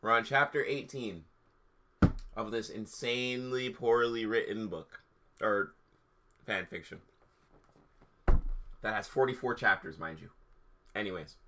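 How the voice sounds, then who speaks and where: A man's voice: on the low side, a little slow, long pauses, noisy background. A man hosting a livestream, giving a theatrical, mocking dramatic reading of fan fiction with casual asides. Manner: theatrical, mocking delivery that breaks into laughter. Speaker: livestream host.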